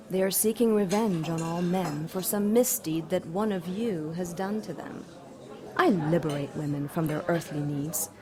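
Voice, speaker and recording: A cold, amused demon queen who talks down to humans in a lowish, unhurried voice. She mocks slowly and sounds sure of her power. A murmur of crowd noise runs behind her in this audio drama. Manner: haughty, slow and mocking.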